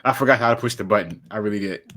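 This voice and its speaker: A man's voice: on the low side, very fast, bright, forceful tone. A man co-hosting a podcast, joking and hamming it up while plugging merchandise. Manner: joking, hammy, teasing. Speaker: podcast co-host. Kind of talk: playful podcast promo banter.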